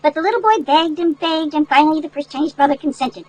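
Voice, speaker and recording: An older woman reading a picture book aloud to children in an animated, folksy way, with chatty asides that explain things. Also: sits high, fast, slight background noise, rough recording. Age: older, great-grandmother. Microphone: headset.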